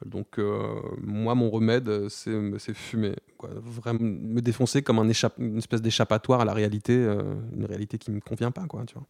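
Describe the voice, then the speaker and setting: A man in his thirties speaking candidly in an interview, slangy and halting, with many restarts and fillers. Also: fairly low pitch, level tone, gravelly, a little mellow, dry recording, clean studio sound. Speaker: guest.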